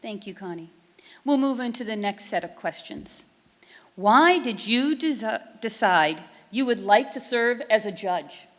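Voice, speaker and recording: A woman's voice: fairly high pitch, animated, a little slow. A woman moderating a candidate forum, reading out the questions politely and formally. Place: performing arts center stage. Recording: reverberant auditorium.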